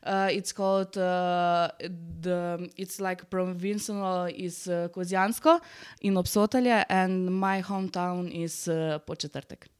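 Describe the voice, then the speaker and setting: A woman with a Slovenian accent, a teacher giving unscripted interview answers in halting, simple English. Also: lowish voice, steady tone, a little mellow, smooth.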